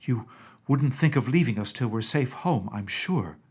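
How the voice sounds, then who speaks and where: An anxious storybook nursemaid, acted by a man reading aloud, scolding and fretful. The woman is quick to indignation, and the voice is a little husky. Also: medium pitch, lively intonation. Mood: frightened.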